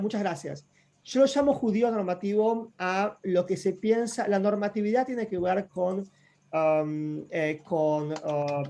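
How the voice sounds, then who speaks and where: A man's voice: high-pitched, darkish tone. A man giving an academic talk over a video call, speaking thoughtfully with repeated phrases and many hesitations. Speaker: guest lecturer. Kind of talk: academic talk with audience questions.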